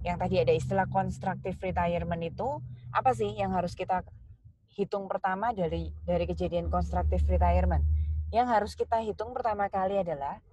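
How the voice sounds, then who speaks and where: A woman's voice: lowish voice, a little mellow, rough recording. Indonesian woman teaching step by step. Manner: step-by-step, instructional, addressing students directly. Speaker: accounting lecturer. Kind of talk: explanatory tutorial lecture.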